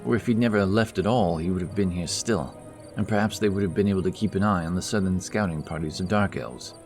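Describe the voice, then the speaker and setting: A man narrating a fantasy audiobook in a steady, measured way. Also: sits low, soft background music.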